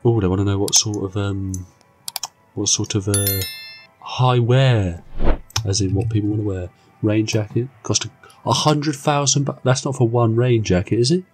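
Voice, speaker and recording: A young man commentates on his own racing game in a chatty, excitable and jokey way. His voice is croaky from a cold and sits somewhat low. Faint game music runs underneath. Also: moderate pace. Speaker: gaming channel host. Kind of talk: casual gameplay commentary.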